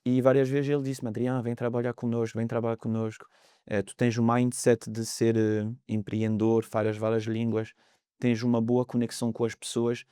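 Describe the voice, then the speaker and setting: Smooth-voiced man with French accent. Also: mid-range voice, little pitch movement, moderate pace, dark tone, no echo, clean studio sound. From France. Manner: hesitant, many fillers, searches for words. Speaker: mortgage broker guest. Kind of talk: conversational podcast interview.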